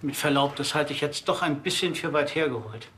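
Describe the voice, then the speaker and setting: An actor playing a polite, affronted undertaker under suspicion, formal and indignant in a TV crime comedy. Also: male voice, fairly high pitch, fairly quick, background noise, close to an everyday voice. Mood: defensive. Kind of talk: naturalistic TV crime comedy acting.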